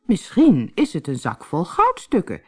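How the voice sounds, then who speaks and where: A woman's voice: lowish voice, huge pitch swings, a little slow, slightly rough, full character voice. A woman voicing a cheeky, kind-hearted young trickster servant in a storybook, playful and polite. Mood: sly, teasing. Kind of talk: storybook character acting.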